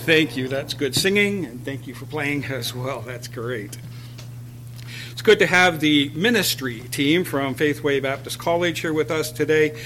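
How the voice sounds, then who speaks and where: A man leading a church service, quietly announcing hymn numbers and thanking the musicians in a sanctuary. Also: on the high side, unhurried, slight background noise. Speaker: song leader. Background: instrumental music.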